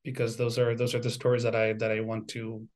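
A man's voice: lowish voice, monotone, brisk, darkish tone. A man from Venezuela, around his forties, speaking reflectively as an interview guest and often repeating words as he thinks. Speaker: composer and university music teacher, guest.

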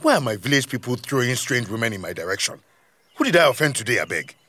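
A man's voice: mid-range voice, big pitch swings, quick pace, fairly bright tone, no echo. A man with a Nigerian accent acting a stubborn, mocking village community leader in an audio drama, arguing with rhetorical questions. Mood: irritated.

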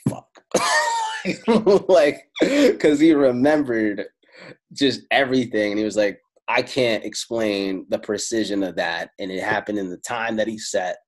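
A man whose first language is Italian, telling stories in a casual talk on spirituality. He swears freely and slows down to stress his points. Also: medium pitch. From Italy. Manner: casual and profane, slows down for emphasis. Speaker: spiritual speaker.

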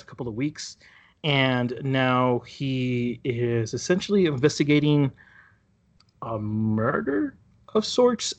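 A man chatting casually about a TV show, rambling and halting with many restarts and fillers. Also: medium pitch. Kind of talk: casual chat reviewing a TV show.

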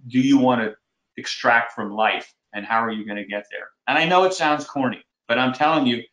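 A man's voice: medium pitch, a bit bright. Earnest man in an echoey room. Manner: earnest, folksy, halting with restarts. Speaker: commercial real estate broker, guest. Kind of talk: casual advice in podcast interview. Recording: roomy echoey sound.

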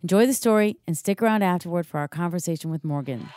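A woman's voice: on the low side, fast talker. A woman hosting a podcast, reading a scripted introduction. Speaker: podcast host. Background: music.

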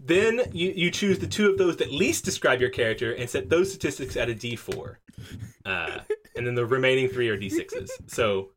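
A man acting as game master for a tabletop game, explaining the rules casually with lots of fillers and quick laughs. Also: mid-range voice, slight background noise. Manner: lively, laughs easily, many fillers. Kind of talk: running a tabletop game session.

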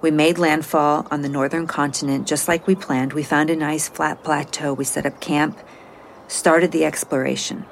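A woman's voice: deep voice, fairly even intonation, moderate pace, creaky, slight background noise. An actress playing an anxious, flustered botanist on a space mission, hesitant and self-doubting as she gives a report in an audio drama. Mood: worried, explaining. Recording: moody music score underneath.

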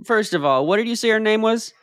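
A young man in an improvised comedy scene, asking incredulous questions and jumping up in pitch when excited. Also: fast, no room sound, clean studio sound. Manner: animated, incredulous questioning, jumps high in pitch.

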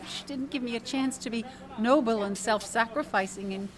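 Woman acting Grace, wry lonely woman, high-pitched. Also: normal speed, a crowd in the background, lightly acted. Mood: regretful, pleading. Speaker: lead actor. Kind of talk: radio theatre acting.

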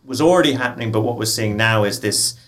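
A man interviewing a guest, asking long questions in a halting way with many restarts. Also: fairly low pitch, a bit bright, slight background noise. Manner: halting, restarts his sentences.

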